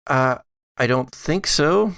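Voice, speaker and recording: A man's voice: fairly high pitch, lively intonation, slow pace, gravelly, fairly bright tone, dry recording, full character voice. A man voicing an uncertain, forgetful woman companion in a tabletop audio drama. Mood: unsure, questioning.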